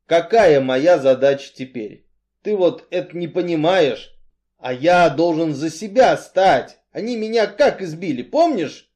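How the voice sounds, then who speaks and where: A man voicing a brooding, weary strongman who speaks bluntly and emphatically in halting, colloquial phrases. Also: fairly high pitch, expressive, darkish tone, voice pushed up. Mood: embittered.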